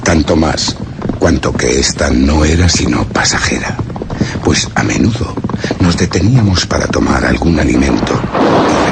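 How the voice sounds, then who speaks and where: Very deep, gravelly, grave expedition survivor. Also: male voice, heavily acted. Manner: measured, formal, ominous. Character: grave male expedition survivor recounting a dreadful journey. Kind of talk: solemn literary horror radio drama narration. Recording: very noisy radio drama recording.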